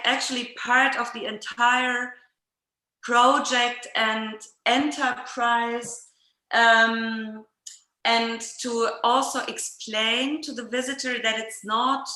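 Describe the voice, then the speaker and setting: Female discussion host, bright higher voice, halting delivery, roomy echo. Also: little pitch movement. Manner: hesitant, searching for words. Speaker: host of an art talk session. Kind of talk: unscripted discussion. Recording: echoey room.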